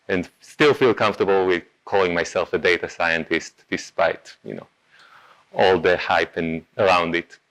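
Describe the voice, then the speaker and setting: A man from Israel with a clear Israeli accent talks to a meetup crowd in a relaxed, conversational way. His voice sits fairly low, and he often pauses on "um" and corrects himself mid-sentence. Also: low-quality recording. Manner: casual, halting, many ums and self-corrections. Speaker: meetup speaker. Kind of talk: conference talk.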